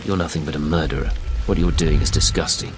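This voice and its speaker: Stern empire spy in a game, deep voice, clipped n controlled, music behind. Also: male voice, fairly even intonation, quick pace. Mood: stern disapproval. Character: stern male imperial intelligence agent, coolly judgmental. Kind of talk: video game dialogue acting.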